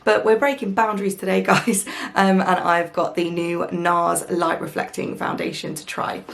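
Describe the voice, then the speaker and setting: A young woman, chatting casually through a makeup review, her voice croaky from the early morning. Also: on the low side, little pitch movement, moderate pace. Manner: chatty, lots of ums and small laughs. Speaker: beauty vlogger. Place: at home.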